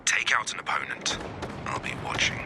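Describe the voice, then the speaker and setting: A man voicing a stern covert-ops spymaster in a video game, measured, clipped and deadpan. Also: close to an everyday voice. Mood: appraising. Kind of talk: scripted video game character dialogue. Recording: noisy game ambience behind voice.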